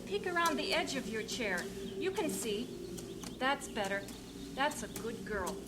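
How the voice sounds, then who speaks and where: Audio drama: doting mother, high, slow and coaxing, clear outdoor bird ambience. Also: big pitch swings, background noise. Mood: admiring, coaxing. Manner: coaxing, gentle instruction. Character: doting, watchful young mother on a journey.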